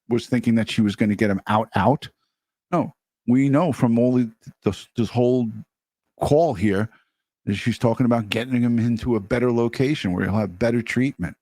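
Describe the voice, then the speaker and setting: A man's voice: medium pitch, normal speed, a little mellow, no room sound. A man hosting a commentary show, speaking off the cuff in a scornful, sarcastic way. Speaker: show host commenting on a recorded call.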